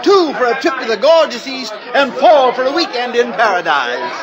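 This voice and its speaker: An officious attendant in an old radio drama, calling out briskly in a very high voice. A crowd is loud around him on the vintage recording. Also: male voice, sing-song. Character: officious male attendant handing out drug rations. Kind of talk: 1950s radio drama acting. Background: milling crowd.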